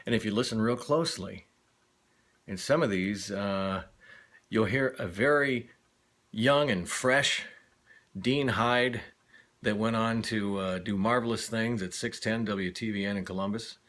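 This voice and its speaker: A middle-aged American man talks casually to camera. His voice sits somewhat low. He speaks haltingly, with a wry manner. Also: a bit bright. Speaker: vlogger, former radio announcer.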